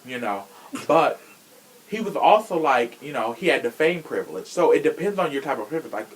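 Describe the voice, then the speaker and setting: A man's voice: medium pitch, very animated, fairly quick, slight background noise, poor audio. Sassy man chatting on a podcast. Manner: sassy, frank, profane slang. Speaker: podcast host. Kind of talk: casual true crime chat.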